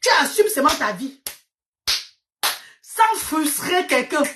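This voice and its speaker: A Cameroonian woman whose mother tongue is Medumba, speaking French with a Cameroonian accent and talking to her followers in an animated, exclaiming way. She repeats herself and slips English words into her French. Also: high voice, slow, background noise. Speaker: vlogger. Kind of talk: chatty storytelling to followers.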